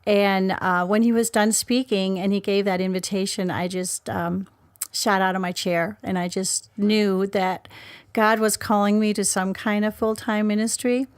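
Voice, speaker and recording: A woman's voice: mid-range voice, steady tone, measured pace, clear, resonant tone, no echo. A woman from Denmark telling a personal story conversationally as a podcast guest. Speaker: podcast guest, ministry worker.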